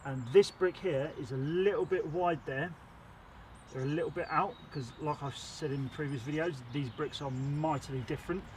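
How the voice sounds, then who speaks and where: Chatty bricklayer with a sore throat. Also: male voice, fairly high pitch, normal speed, darkish tone, slight background noise, poor audio. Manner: chatty, rambling, self-admittedly waffles. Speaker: bricklayer teaching on his channel. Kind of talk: casual how-to tutorial.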